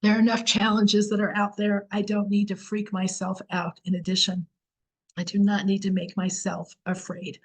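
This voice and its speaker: A woman's voice: mid-range voice, level tone, normal speed. A woman teaching a Torah class on a video call, explaining earnestly while her voice is going. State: losing her voice.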